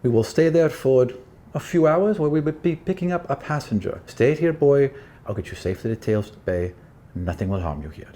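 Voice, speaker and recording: A man plays a big, weathered sea captain in a tabletop roleplaying game. He is gruff yet protective. His manner is folksy and fatherly, like he is looking after a youngster. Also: medium pitch, lively intonation, a little fast, dark tone, slight background noise, pitched above the performer's own voice, close to an everyday voice. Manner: folksy, fatherly, calling the listener boy.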